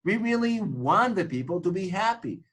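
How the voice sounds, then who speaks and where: A man's voice: high voice, lively intonation, normal speed, fairly bright tone. Man lecturing, earnest and reflective. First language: Hebrew. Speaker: tour guide instructor. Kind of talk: informal lecture on guiding.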